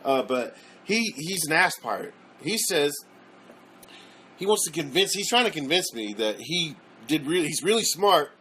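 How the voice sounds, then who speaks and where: A man's voice: a little high, sing-song, moderate pace, pushed and bright, slight background noise. A man ranting casually in a played video clip, rambling, profane and full of restarts. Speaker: YouTuber.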